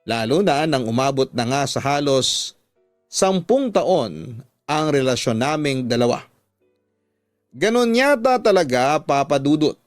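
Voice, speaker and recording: Male Filipino radio drama narrator, slightly high voice, reading a confessional letter in a dry studio over soft music. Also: no room sound. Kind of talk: scripted dramatic narration of a confessional love story. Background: background music.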